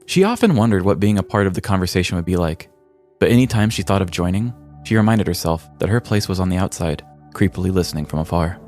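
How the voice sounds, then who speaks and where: A man's voice: deep voice, animated, quick pace, a little mellow, faint music behind. An American man hosting a podcast, reading a scripted comedic story with arch, theatrical mock-seriousness. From United States. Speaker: podcast host.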